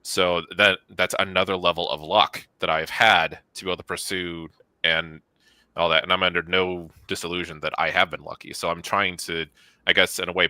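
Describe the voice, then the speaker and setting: A man's voice: low voice, bright, forceful tone, dry recording. A man in his thirties chatting casually in an interview as a studio co-founder, with an informal, rambling, self-effacing way of talking. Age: 30s.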